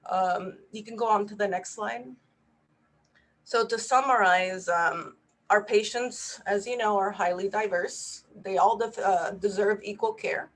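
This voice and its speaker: A woman's voice: mid-range voice, fairly even intonation, measured pace, a bit bright, a little hiss or hum, poor audio. A woman in her thirties from Puerto Rico whose first language is Spanish, a physician giving an earnest, conversational webinar talk over a video call, with frequent fillers. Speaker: physician panelist. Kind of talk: webinar presentation.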